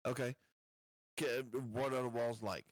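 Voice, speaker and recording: A man playing a tabletop game, chatting casually and putting his words together in a deliberate, stop-and-start way. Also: medium pitch, fairly even intonation, no echo.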